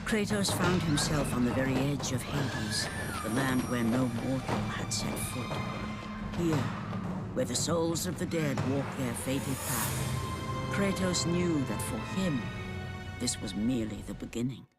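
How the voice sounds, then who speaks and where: A man's voice: medium pitch, expressive, measured pace. A man giving grave, dramatic scripted narration for a game cutscene. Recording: game cutscene with loud score. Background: loud orchestral music.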